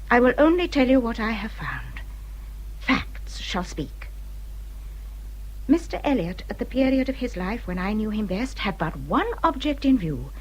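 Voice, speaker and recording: Husky, bitter English widow. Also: female voice, a little high, low-quality recording. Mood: controlled, confiding. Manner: emphatic, formal, dramatic delivery. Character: bitter, injured widow warning a younger friend. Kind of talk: British period radio drama acting.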